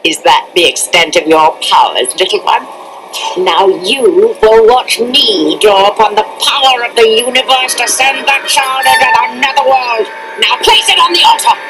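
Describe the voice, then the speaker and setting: A woman playing a cruel, scornful old sorceress queen in a fantasy film, with loud music behind her. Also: on the high side, normal speed, close to an everyday voice, rough recording. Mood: cold menace. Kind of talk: theatrical fantasy film acting. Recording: film dialogue under loud orchestral music.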